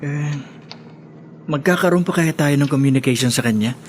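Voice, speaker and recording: A man's voice: a little high, moderate pace, slight background noise, close to an everyday voice. A man acting a polite, reserved young classmate in a radio drama, in a mild, restrained way. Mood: worried.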